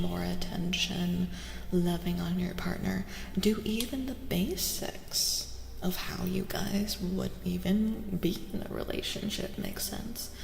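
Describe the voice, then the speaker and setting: A woman giving a soft-spoken ASMR tarot reading, hushed and gentle, often slipping into whispers and softly repeating reassurances. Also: on the low side, vocal fry, reverberant, big-room sound.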